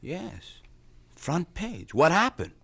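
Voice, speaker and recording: A defensive young son in a radio mystery. The voice is fairly high and bright, with lively pitch. He stammers and sounds evasive. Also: slow, vocal fry, husky, exaggerated voice. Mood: uneasy, evasive. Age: young adult. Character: defensive, uneasy college-age son. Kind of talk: radio mystery drama acting.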